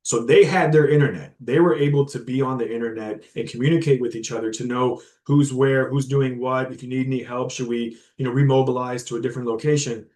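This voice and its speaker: A man in a university classroom delivering an informal guest talk. His voice sits in an ordinary middle pitch, and his delivery is loose and conversational, with many fillers and restarts. He breaks off now and then to explain terms simply for his listeners. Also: steady tone, fairly quick, hardly any pauses, a little mellow. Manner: casual and conversational, with many fillers and repeated words; explains terms plainly for the audience. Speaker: guest lecturer. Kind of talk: informal university lecture to students.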